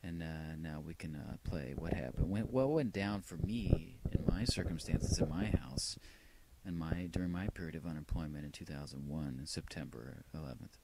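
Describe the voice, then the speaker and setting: A man talking casually and reflectively about his own old tapes, halting and rambling with a wry edge. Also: rumbling low, expressive, creaky, background noise. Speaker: vlogger playing old answering machine tapes. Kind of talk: casual rambling personal narration.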